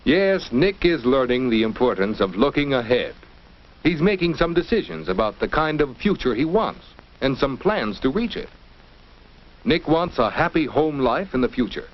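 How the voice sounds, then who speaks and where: A man's voice: mid-range voice, sing-song. An American man giving scripted, didactic narration for a 1950s educational film. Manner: didactic, measured phrasing. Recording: dull vintage 1950s film soundtrack.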